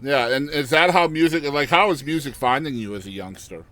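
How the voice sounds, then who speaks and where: A male podcast host runs a casual interview. He asks long, meandering questions and backs up what his guest says with quick agreements. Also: medium pitch, a bit bright, no room sound. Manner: affirming, asks rambling questions.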